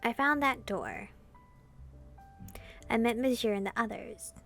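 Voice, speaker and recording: A woman's voice: fairly high pitch, a little slow, vocal fry, a bit bright, faint music behind. A woman gamer reading a visual novel aloud expressively, in the style of a casual playthrough.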